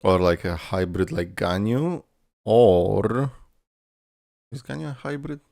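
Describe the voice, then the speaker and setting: A man's voice: on the low side, lively intonation, slow pace, vocal fry, a little mellow, no room sound, studio quality. A man giving casual, playful reaction commentary with swearing, his voice tired and strained from a lot of talking. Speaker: reaction video host.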